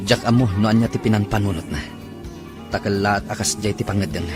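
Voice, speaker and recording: An actor playing a troubled husband who speaks in a subdued, brooding way. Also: male voice, on the low side, fast talker, over music, close to an everyday voice. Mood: worried. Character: troubled husband, cold to his wife.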